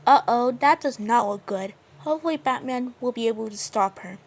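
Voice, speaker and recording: A woman's voice: fairly high pitch, moderate pace. A woman casually narrating a homemade story as a video creator, hesitant and repeating her phrases. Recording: faint background noise.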